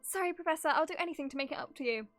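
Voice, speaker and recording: Girl reading as shy lovestruck student from a game story, high bright voice, nervous n eager. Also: racing, soft background music. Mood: apologetic. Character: shy, eager young student smitten with the professor.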